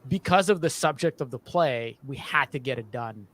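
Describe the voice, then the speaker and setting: A man's voice: mid-range voice, expressive, fairly bright tone. A man in his thirties, a sound designer, explaining his process in an interview from a home studio, restarting words as he goes. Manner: halting, often repeats and restarts words. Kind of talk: interview answer about creative process. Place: small home studio, video call. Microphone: desk boom microphone up close.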